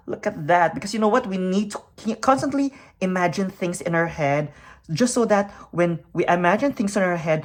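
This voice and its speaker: A woman from the Philippines giving a makeup tutorial, upbeat and hyper, tossing in peppy "you know what?" and "yes!" asides between encouraging thoughts. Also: fairly low pitch. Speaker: makeup vlogger. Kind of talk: makeup tutorial with motivational talk.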